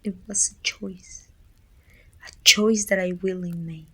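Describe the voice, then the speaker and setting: A weary, bittersweet female supervillain, acted by a woman for an ASMR roleplay. Her voice is husky and breathy, with a crackling fry at the edges. She speaks in a pensive, deliberate way, often sinking toward a whisper. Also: on the low side, steady tone, pushed and bright, heavily acted. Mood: solemn, probing. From Mexico.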